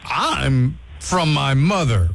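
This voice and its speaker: A woman's voice: very deep voice, lively intonation, slow, gravelly, a little hiss or hum. A woman co-hosting a radio game, playfully acting out lines in a skit. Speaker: radio co-host.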